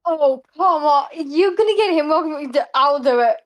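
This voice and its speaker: A woman's voice: sits high, expressive, moderate pace. A woman from Britain chatting casually and playfully on a gaming stream. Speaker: guest player.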